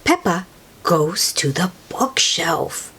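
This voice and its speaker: Woman reading a picture book to little kids, unhurried, super expressive, bit of husk in her voice. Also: fairly low pitch, breathy edge. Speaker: read-aloud storyteller.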